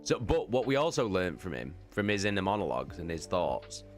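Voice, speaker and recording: A man's voice: low voice, sing-song, brisk, soft background music. A man, a streamer who moves between casual chat and dramatic book narration. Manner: sweary, informal. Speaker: streamer hosting a live book reading.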